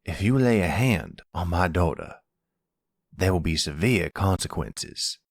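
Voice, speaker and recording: An older mafia patriarch, played by a man in an audio drama. He is a fiercely protective, doting father with a gruff edge and a low, raspy voice, close and dry. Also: animated, a little slow, no echo, heavily acted. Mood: menacing. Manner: tender to daughter, gruffly threatening to others. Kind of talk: audio roleplay acting.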